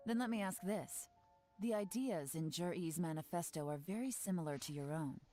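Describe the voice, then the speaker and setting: An actress playing a composed woman investigator in a game, speaking in a cool, assessing way over soft music. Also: on the low side, moderate pace, natural voice.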